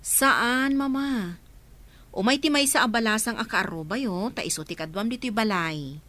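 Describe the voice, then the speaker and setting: A woman's voice: a little high, moderate pace, fairly bright tone, lightly acted. A woman playing a firm, practical grown daughter in a radio drama, earnest and reassuring.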